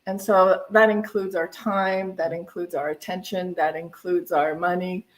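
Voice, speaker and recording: A woman's voice: fairly low pitch, steady tone, unhurried. A woman minister speaking informally at a spiritual center service, in a conversational, rambling way that often corrects itself. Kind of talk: informal church talk on giving.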